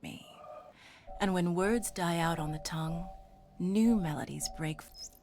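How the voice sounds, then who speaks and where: A woman's voice: lowish voice, unhurried, darkish tone, slight background noise. A woman giving a scripted reading of poetic quotations for game audio logs.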